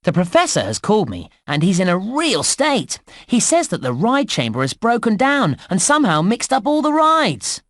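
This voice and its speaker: An actor playing a chirpy, helpful male theme park guide in a children's game, with cheery, clearly enunciated scripted narration. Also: high-pitched, wildly swooping pitch, moderate pace, few pauses, dry recording, studio quality, exaggerated voice. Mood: animated, concerned.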